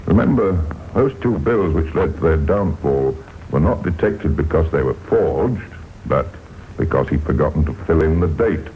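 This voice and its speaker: Male crime-series narrator, deep bass register, deliberate dramatic delivery, dull old radio recording. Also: animated, slight background noise. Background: music.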